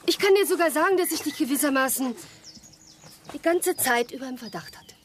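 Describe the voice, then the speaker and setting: A woman's voice: high voice, animated, brisk, noisy background. An actress playing a disillusioned, reproachful girlfriend in a radio crime drama, speaking firmly. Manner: reproachful, firm. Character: disillusioned girlfriend, cool reproachful woman breaking off.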